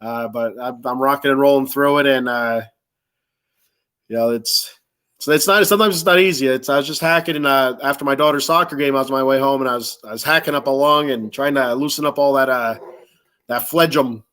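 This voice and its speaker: A man's voice: medium pitch, a little fast, fairly bright tone, clean tone. A middle-aged man with a stuffed-up nose chats informally and ramblingly as host of a casual sports fan livestream. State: stuffed up with a cold. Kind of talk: casual livestream chat, greeting commenters.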